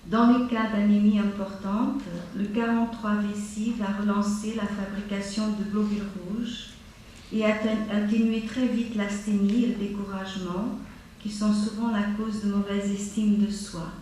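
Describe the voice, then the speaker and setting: A woman teaching a class on acupuncture, going slowly and steadily through a list of treatment points. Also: medium pitch, little pitch movement, warm tone, far from the mic, a little hiss or hum. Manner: slow, measured delivery, listing items one by one. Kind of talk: lecture listing treatment points. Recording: very echoey room, voice from afar.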